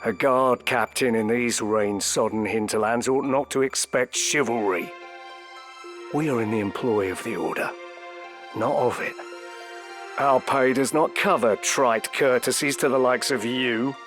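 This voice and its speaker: An actor playing a cynical veteran mercenary in a fantasy game, sardonic and menacing in archaic speech, with music behind. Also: male voice, mid-range voice, measured pace, lightly acted. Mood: sardonic contempt. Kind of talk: dramatic fantasy game voice acting. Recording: game clip under loud music.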